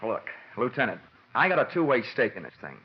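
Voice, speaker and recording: Actor as wisecracking hardboiled radio detective, animated. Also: male voice, mid-range voice, fairly quick, slight background noise, natural voice. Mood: urgent, wry. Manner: sardonic hardboiled delivery, slangy quips. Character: wisecracking, cocky male freelance insurance investigator. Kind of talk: 1940s-50s radio detective drama acting. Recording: dull vintage radio recording, music behind.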